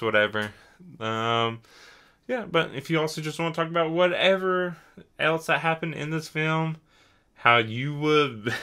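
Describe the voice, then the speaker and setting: Young man, podcast host, rambling, tipsy, bright voice, swooping intonation. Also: mid-range voice. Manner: rambling, self-deprecating, easily sidetracked. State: tipsy from drinking. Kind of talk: casual rambling podcast outro.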